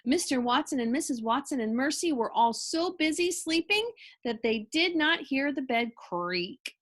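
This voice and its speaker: A woman reading a children's story aloud with expressive narration. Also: sits high, normal speed.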